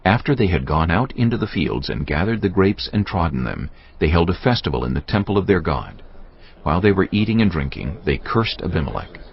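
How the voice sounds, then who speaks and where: A man's voice: low voice, very animated, brisk, gravelly, husky. A man narrating a dramatised audio Bible in a solemn storytelling manner. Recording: muffled, band-limited audio with music. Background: music bed.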